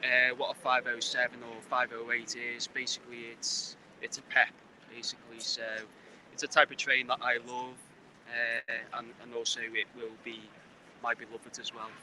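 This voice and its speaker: Liverpool-accented man, mid pitch, halting casual chat outdoors, clear traffic and train noise behind. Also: monotone, bright, forceful tone. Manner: hesitant, many fillers and restarts, level rising and falling. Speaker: guest. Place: outdoors at a railway station. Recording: noisy outdoor livestream. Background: trains and street noise.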